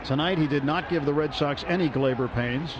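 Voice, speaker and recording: A man calling a baseball game as the radio play-by-play announcer from a ballpark booth, with brisk, measured play calling. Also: on the high side. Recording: muffled radio broadcast with crowd noise.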